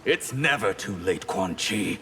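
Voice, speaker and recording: A proud, aloof mage from a video game, stern and defiant. His lowish voice is formal and imperious, over faint ambient noise. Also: lively intonation, measured pace, sharp tone. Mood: stern defiance. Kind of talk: video game drama acting.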